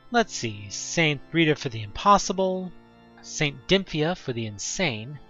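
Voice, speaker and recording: A man's voice: medium pitch, a bit bright, slightly rough. A man narrating a horror audio drama theatrically in the second person, on a muffled recording with music behind.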